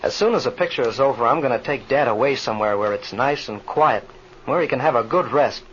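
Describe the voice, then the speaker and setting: An American actor playing an anxious, earnest young student pilot in a vintage radio serial, sincere and boyishly polite. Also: male voice, mid-range voice, brisk, a bit bright, natural voice. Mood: worried. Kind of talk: 1930s radio serial acting. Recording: muffled, noisy old radio recording.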